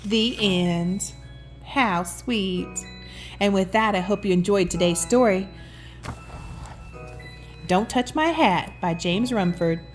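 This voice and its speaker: A woman's voice: mid-range voice, slow, pauses often. A woman reads a picture book aloud expressively, with affectionate, chatty asides to the listener. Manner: affectionate, addresses the listener as sweetheart. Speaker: children's storybook reader and host. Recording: music behind the voice. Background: music.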